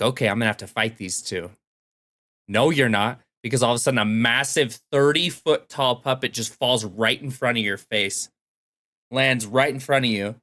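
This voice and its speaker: A man's voice: mid-range voice, fairly bright tone. A man talking casually as a podcast host, recounting things in everyday conversational language. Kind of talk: casual storytelling chat about games.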